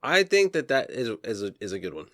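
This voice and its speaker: A man co-hosting a podcast in casual chat, dropping crude jokes in a deadpan way. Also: medium pitch, a little fast, no room sound, clean studio sound. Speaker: podcast co-host.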